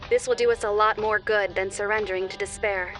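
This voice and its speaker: A woman playing a gentle, nurturing angel who hosts a children's cartoon, speaking in a soothing, instructive way straight to the young viewer. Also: medium pitch, steady tone, fairly quick, natural voice. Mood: gentle, earnest. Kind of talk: children's educational show dialogue. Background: background music.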